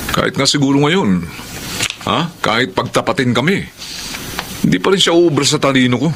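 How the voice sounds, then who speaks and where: An actor playing a cocky, irritable small-time scammer and gambler husband in a radio drama. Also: male voice, medium pitch, animated, moderate pace, background noise, lightly acted. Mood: boastful, resentful. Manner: boastful, defensive. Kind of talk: Tagalog radio drama acting.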